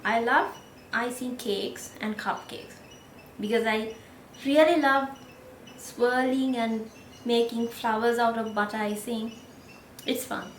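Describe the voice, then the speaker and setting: A woman in her thirties with a Sri Lankan accent, whose first language is Sinhala, chatting casually to the camera in a gentle, unhurried way. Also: a little high, reverberant, slight background noise. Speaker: vlogger.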